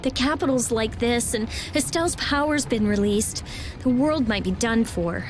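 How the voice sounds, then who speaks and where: A woman voicing a sharp-tongued, prickly teenage girl genius in a video game, curt and snappish. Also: fairly high pitch, normal speed, close to an everyday voice, rough recording. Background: game music.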